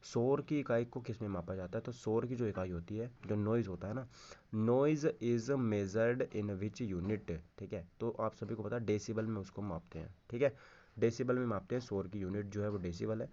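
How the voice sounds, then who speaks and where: A man's voice: on the low side, normal speed, dark tone, dull audio. A man teaching an informal exam-prep lesson, repeating key answers, checking in with listeners and mixing Hindi with English terms. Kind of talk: informal lesson, going through quiz questions.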